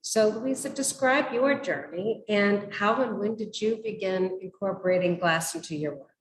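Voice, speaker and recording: A woman hosting a conversation with artists, speaking in a measured, halting manner. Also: medium pitch. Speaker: host interviewing artists for a museum. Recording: roomy echo with noticeable background noise.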